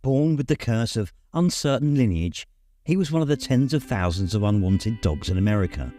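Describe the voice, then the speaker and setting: A man reading a memoir aloud as an audiobook narrator, in measured storytelling phrases. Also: lowish voice, lively intonation, warm tone, no room sound, faint music behind. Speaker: audiobook reader. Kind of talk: scripted literary narration.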